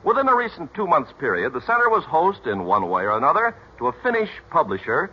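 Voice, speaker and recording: Swooping, husky vintage radio announcer. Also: male voice, a little high, sing-song, quick pace. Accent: American. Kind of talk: 1940s radio scripted narration. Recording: muffled 1940s radio recording.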